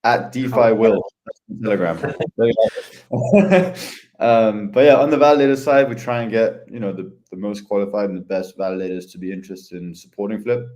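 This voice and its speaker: A man chatting casually as a podcast guest, easygoing and hesitant with many pauses. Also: on the low side, darkish tone. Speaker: head of ecosystem, podcast guest.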